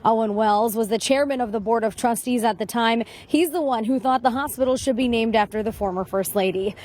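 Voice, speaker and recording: A woman's voice: fairly high pitch, brisk, darkish tone. An American woman giving a live TV news report from the field. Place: on location at a hospital.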